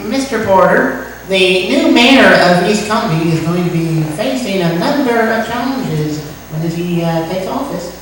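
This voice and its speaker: A woman playing a self-important male talk show host obsessed with birds, pompous and deliberate, in old-time radio comedy style. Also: mid-range voice, expressive, lightly acted. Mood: officious welcome. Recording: echoey room, distant mic, faint noise.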